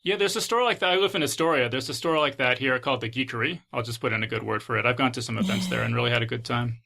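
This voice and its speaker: A man's voice: mid-range voice, rapid-fire, creaky, bright, forceful tone. A man hosting a podcast, chatting casually with his guests in a conversational interview style. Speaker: podcast host.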